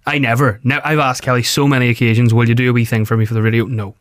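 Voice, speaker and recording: A man's voice: mid-range voice, little pitch movement, rapid-fire, no room sound, clean studio sound. A man of thirty, a radio breakfast host chatting casually and jokily, a bit worn out. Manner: chatty and jokey, a bit tired. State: tired. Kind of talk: casual podcast chat.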